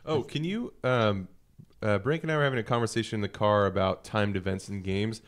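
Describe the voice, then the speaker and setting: Smooth, lowish-voiced man chatting casually. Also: fairly quick. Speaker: podcast co-host. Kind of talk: casual chat.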